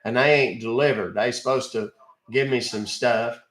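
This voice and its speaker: A man's voice: medium pitch, steady tone, moderate pace, fairly bright tone, roomy sound, lightly acted, poor audio. A man putting on a folksy rural caller. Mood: insistent. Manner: drawling, hesitant with fillers, uses ain't and y'all.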